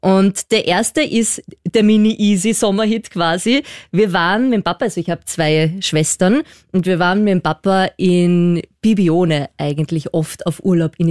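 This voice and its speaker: Lively woman laughing through chat. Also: on the low side, smooth, dry recording, clean studio sound. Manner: lively, laughs often, colloquial. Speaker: co-host. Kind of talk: casual podcast chat.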